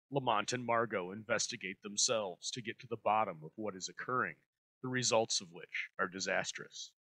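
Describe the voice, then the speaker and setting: A man's voice: on the low side, sharp tone, dry recording, rough recording. A man reading scripted narration as a host introducing an episode. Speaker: show host introducing an old radio episode.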